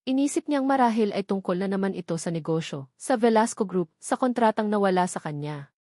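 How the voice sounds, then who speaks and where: A woman storyteller delivers scripted romantic drama with emphasis, narrating in a dramatic manner. Her voice has a middling pitch and sits in a dry, close sound with no room around it. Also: lively intonation, fairly quick, warm tone, dry recording.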